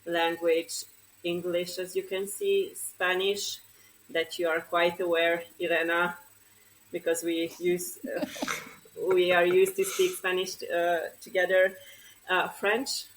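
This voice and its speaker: A woman's voice: fairly low pitch, completely flat delivery, slow, a little hiss or hum, low-quality recording. A young woman from Romania whose first language is Hungarian, chatting modestly as an interview guest with frequent hesitations. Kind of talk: casual conversational interview.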